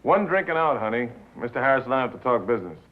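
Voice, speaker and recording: An actor playing a brash, boozy, bitter radio executive in a 1950s film drama, talking in glib, slangy, back-slapping patter. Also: male voice, medium pitch, very animated, fast, close to an everyday voice. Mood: glib, dismissive. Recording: tinny, noisy 1950s film soundtrack.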